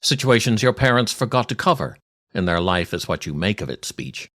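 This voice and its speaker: Man in his sixties, expressive storyteller. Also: fairly low pitch, fairly quick. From Ireland. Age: 60s. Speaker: podcast host and storyteller. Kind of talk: scripted comic monologue, read aloud.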